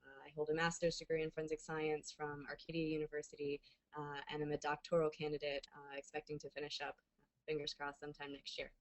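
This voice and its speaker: Low-voiced American woman presenting. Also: completely flat delivery, quick pace, rough recording. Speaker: webinar co-host, forensic science educator. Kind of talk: informal professional presentation.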